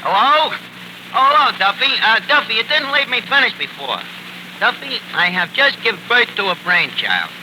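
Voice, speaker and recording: A man's voice: very high-pitched, sing-song, normal speed. An actor playing a bumbling, cocky male tavern manager with a New York accent, wisecracking and mangling words in a 1940s radio comedy. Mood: cajoling, breezy. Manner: wisecracking, self-important malapropisms. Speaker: comedy show lead. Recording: noisy 1940s radio broadcast recording.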